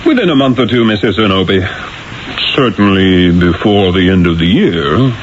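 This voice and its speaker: A man's voice: sits low, lively intonation, moderate pace, background noise. An actor playing a sly, persuasive Renaissance painter telling his tale in a theatrical 1970s radio drama style. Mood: assured flattery. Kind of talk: 1970s radio drama acting and first-person narration. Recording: muffled old radio broadcast with music.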